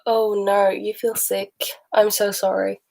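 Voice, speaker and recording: A woman's voice: mid-range voice, flat delivery, unhurried, creaky, a little mellow. A young woman from Sweden with a mixed Swedish-English accent, chatting casually with viewers on a livestream while sick, rambling and laughing often. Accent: Swedish with mixed Texan, Australian and British bits. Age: young adult. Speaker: livestream host.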